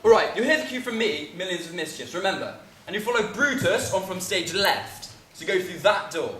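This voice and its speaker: A man's voice: high voice, lively intonation, a little fast, sharp tone. An actor playing an exasperated amateur theatre director, bossy and theatrical as he marshals his cast. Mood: brisk, organising. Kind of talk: acted comedy drama dialogue. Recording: echoey room, some distance, faint noise.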